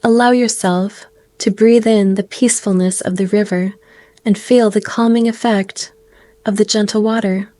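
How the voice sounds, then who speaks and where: A woman guiding a meditation in a gentle, soothing way, speaking in slow phrases with pauses. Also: mid-range voice, steady tone. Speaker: meditation guide. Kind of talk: guided meditation narration.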